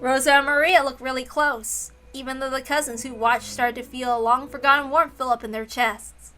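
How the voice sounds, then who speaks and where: Bright, high-voiced woman narrating a story. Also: fairly even intonation, moderate pace, soft background music. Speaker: reader of a visual novel.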